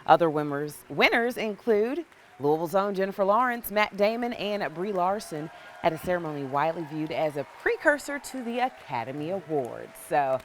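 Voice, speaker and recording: A woman's voice: lowish voice, very animated, moderate pace, a little hiss or hum. A woman with an American accent anchoring TV morning news, reading stories and chatting casually with a co-anchor. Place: TV news studio.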